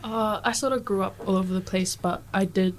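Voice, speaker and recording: A woman's voice: mid-range voice, fairly even intonation, fairly quick, a little hiss or hum. Casual young woman guest. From Fiji. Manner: loose and casual, with fillers. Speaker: radio guest. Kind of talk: conversational interview answer.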